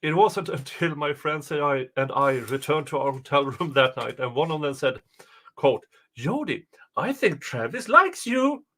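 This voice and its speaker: A man reading aloud casually as a co-host, dropping in deadpan, hesitant asides. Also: on the high side, moderate pace. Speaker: co-host reading and reacting to a document.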